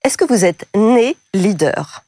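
A woman's voice: medium pitch, expressive, slow, dark tone, no echo. A woman hosting a radio interview and presenting a guest. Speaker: host.

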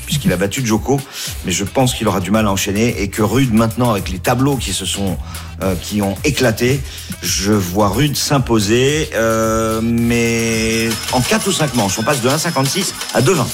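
A man's voice: lowish voice, moderate pace, music in the background. A man on sports radio reading out betting odds and giving a matter-of-fact pick. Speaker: odds pundit.

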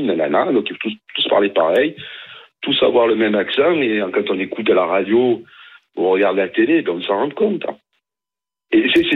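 A man phones in to a radio talk show and argues his point with emphasis and quick asides. His voice is low and husky. It comes over a thin, tinny phone line. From France. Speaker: caller. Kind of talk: radio call-in opinion.

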